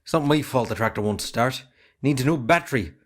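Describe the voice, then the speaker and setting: Sullen farm lad, acted by a man, Irish, medium-pitched, defensive, amateur play. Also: brisk, natural voice. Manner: defensive, grumbling. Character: sullen, put-upon young farmer's son. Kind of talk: amateur stage drama acting.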